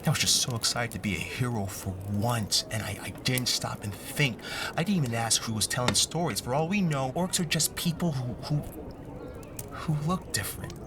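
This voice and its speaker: A man's voice: mid-range voice, animated, moderate pace, noisy background, natural voice. A man playing an anguished, idealistic teenage American boy in a fantasy audio drama, speaking with stammering, slangy intensity. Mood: horrified guilt.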